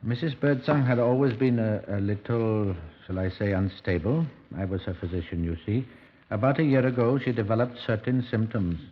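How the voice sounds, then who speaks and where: An actor playing a pompous, deliberate country doctor with formal, hesitant phrasing in a 1950s radio drama. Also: male voice, fairly low pitch, lightly acted. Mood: confiding. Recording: dull old 1950s radio recording.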